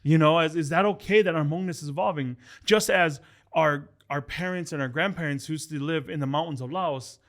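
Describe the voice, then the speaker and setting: A man's voice: a little high, fairly quick, fairly bright tone. A man hosting a podcast, chatty and joking in casual conversation, often repeating words as he goes. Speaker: podcast host.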